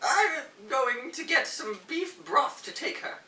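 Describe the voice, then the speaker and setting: A woman playing a kindly, fussy, doting old aunt in a stage comedy read-through, gentle, proper and fretful. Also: high-pitched, expressive, slow, heavily acted. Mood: hospitable. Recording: roomy echo, distant mic.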